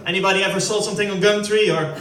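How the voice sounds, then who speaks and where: A man's voice: high voice, steady tone, racing, fairly bright tone. A man from the Netherlands with a Dutch accent, doing chatty, rambling stand-up at a family party and laughing often. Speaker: stand-up comedian. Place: former football club canteen. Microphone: corded handheld mic. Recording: echoey hall from a distance with crowd.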